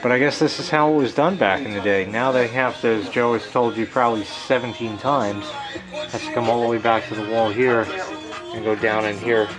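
A man's voice: medium pitch, steady tone, fairly quick, over music. A man giving a casual, rambling walkthrough as he films home repairs, swearing freely with a wry, crude manner. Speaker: homeowner filming his plumbing repair.

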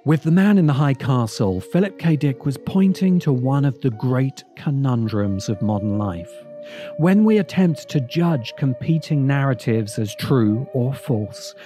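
Mellow man narrating over faint music. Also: medium pitch. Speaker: video essay narrator. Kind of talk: scripted narration.

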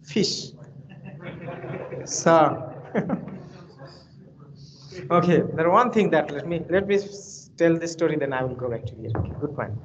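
A man's voice: fairly high pitch, animated, unhurried, long pauses, dull audio. A male university lecturer from Bangladesh, speaking English with a Bangladeshi accent as his second language. He gives an online class lecture and rambles and repeats himself in a friendly storytelling way. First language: Bengali. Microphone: computer mic on a video call.

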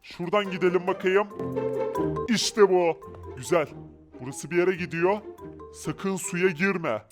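Man, very high voice, playful gaming commentary, exclamatory, faint background music. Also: measured pace, pauses often. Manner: exclamatory, talks through each move. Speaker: gaming video player. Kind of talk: playful Minecraft adventure commentary.

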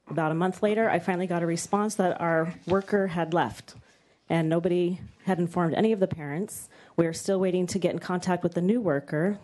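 Dark-voiced mother at a hearing. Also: on the low side. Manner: steady, earnest, with some "um" pauses. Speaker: parent giving public comment. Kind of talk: prepared public comment. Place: state hearing room.

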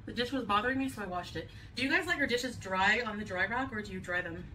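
A woman's voice: mid-range voice, expressive, quick pace, bright, forceful tone. A woman vlogger chats in a rambling way as she unpacks groceries in her home kitchen. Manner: chatty, rambling, light laughs. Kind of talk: grocery haul vlog. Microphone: camera on the counter. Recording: distant camera mic, faint room hum, played back.

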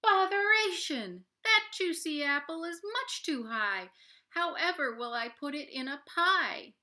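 A woman's voice: high voice, animated, unhurried, voice pushed up, full character voice. Woman doing a flustered, fussy baker man. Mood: exasperated. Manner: exasperated, loud exclamations. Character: fussy flustered man who loves baking.

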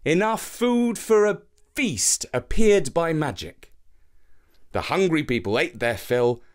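A man reading a children's folktale as an expressive storybook narrator. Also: fairly high pitch, slow.

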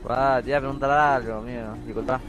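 A man's voice: medium pitch, fairly even intonation, quick pace, over music. A man with a Rioplatense Spanish accent chatting casually as a player in a roleplay game. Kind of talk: casual in-character chat.

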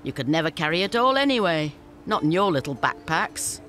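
An actress playing a shrewd female scavenger leader in a fantasy game, brisk and businesslike with a teasing edge. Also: low-pitched, big pitch swings, a bit bright. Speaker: game character. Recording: game audio with faint music.

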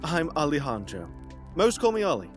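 A man plays an easygoing adventurer in a fantasy audio drama. His voice is fairly high for a man and swings expressively, over faint music. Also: wildly swooping pitch, normal speed. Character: large, easygoing male adventurer.

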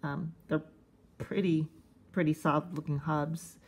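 A woman giving casual, unscripted hands-on review commentary in a halting, conversational way, thinking aloud. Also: low-pitched, fairly even intonation, breathy edge. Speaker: host of a cassette collecting video channel. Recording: faint background noise, casual tablet recording.

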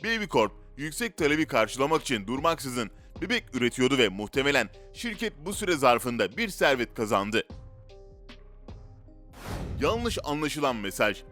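Bright-voiced male narrator over music. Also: mid-range voice, big pitch swings, moderate pace. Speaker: narrator of a list video. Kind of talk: scripted narration. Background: background music.

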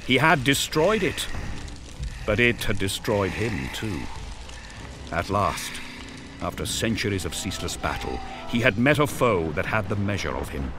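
A man's voice: on the low side, sing-song, a little slow, background noise. A man narrating an audio drama in an intense, solemn, theatrical storytelling style. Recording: loud music and battle effects behind. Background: loud music, battle sound effects.

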